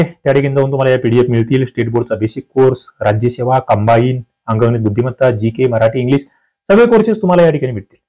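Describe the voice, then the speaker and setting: Maharashtrian male coaching teacher, mellow low-brightness voice. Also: rough recording. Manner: instructive, addresses students directly, repeats key phrases. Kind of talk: exam question walkthrough lesson.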